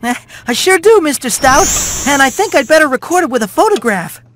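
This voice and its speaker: A woman's voice: sits high, big pitch swings, fairly quick, a little hiss or hum. A woman voicing a small, eager and polite young boy mouse in a video game, with a perky, earnest manner. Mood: cheerful. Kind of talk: video game cutscene acting.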